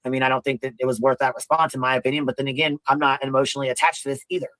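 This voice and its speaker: A man with a deep voice hosting a casual livestream commentary, rambling and profane, often asking "you know what I mean.". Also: flat delivery, rapid-fire, fairly bright tone, no echo.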